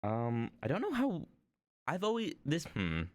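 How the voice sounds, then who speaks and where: Chatty man with a lively, swinging voice. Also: a little slow, no echo. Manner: rambling, self-deprecating, lively swings in pitch. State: hoarse. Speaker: art streamer. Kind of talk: casual stream chat. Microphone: desk microphone.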